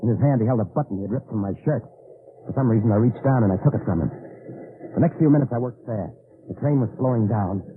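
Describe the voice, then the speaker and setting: Tinny radio-drama actor as a weary secret agent. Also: male voice, medium pitch, fast, background noise, close to an everyday voice. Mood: tense recollection. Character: weary, desperate male Allied agent behind enemy lines. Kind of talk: old-time radio drama acting and first-person narration. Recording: tinny old radio recording, background music.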